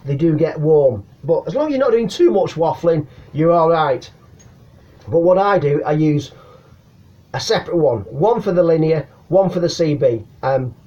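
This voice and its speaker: A middle-aged man with an English accent talks in a fairly high male voice. He explains things casually and informally, doubling back on his words. The sound is a little dull, like a homemade video. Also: darkish tone. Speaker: CB radio hobbyist giving advice. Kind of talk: casual chatty explainer to camera. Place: small attic room at home.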